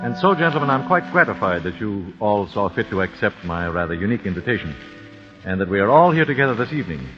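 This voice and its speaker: A man's voice: on the low side, very animated, fast, over music. An actor playing a grim father avenging his son, formal and icily polite, in 1940s radio acting. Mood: calm, courteous. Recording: dull lo-fi old radio recording with faint hiss.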